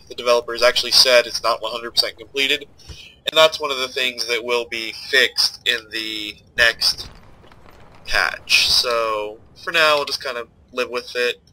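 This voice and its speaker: A man's voice: medium pitch, bright, forceful tone, rough recording. A young man with a stuffed-up, nasal voice, casually commenting while he plays a strategy game and thinks aloud. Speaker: let's play host.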